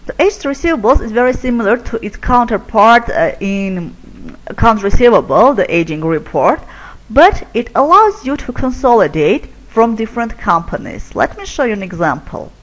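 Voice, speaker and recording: A woman presenting a software product in a webinar, explaining features step by step as she clicks through screens. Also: fairly high pitch, very animated, normal speed, low-quality recording.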